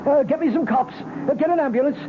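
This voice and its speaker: An actor playing a working-class cab driver, plain-spoken, insistent and well-meaning, in an old radio drama. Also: male voice, very high-pitched, fast talker. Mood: urgent. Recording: noisy, narrow-band old radio broadcast.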